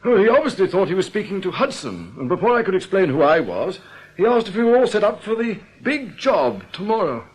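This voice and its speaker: An actor with an English accent playing a stiff, earnest, touchy bank manager in a radio drama, formal, clipped and a little hesitant. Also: male voice, sits high, very animated, a little fast, breathy edge, exaggerated voice, rough recording. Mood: puzzled, suspicious. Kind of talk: British radio drama acting.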